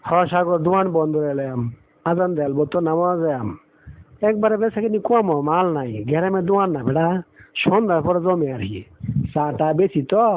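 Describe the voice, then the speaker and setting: A man's voice: a little high, lightly acted. A man playing a plain-spoken, struggling village shopkeeper in a cartoon, with a rural Bangladeshi accent and a colloquial, grumbling manner. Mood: matter-of-fact. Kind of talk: cartoon dialogue. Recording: thin phone-like audio.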